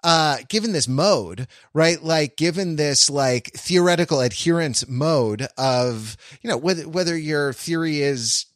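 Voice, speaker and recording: A man co-hosting a podcast, thinking aloud in casual analytical discussion, haltingly searching for words and repeating phrases. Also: a little high, animated, dry recording, clean studio sound. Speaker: podcast co-host.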